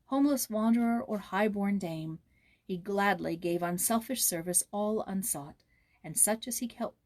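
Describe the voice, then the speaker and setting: A woman reading a fairy tale aloud as a storybook narrator, expressive and with dramatic emphasis. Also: medium pitch, no echo.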